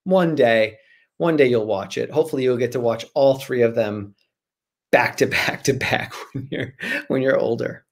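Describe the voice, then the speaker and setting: Man, forties, medium pitch, casual author interview, animated, emphatic repetition, home video call. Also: moderate pace. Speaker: guest author. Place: small room at home, indoors. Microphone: video call, computer microphone.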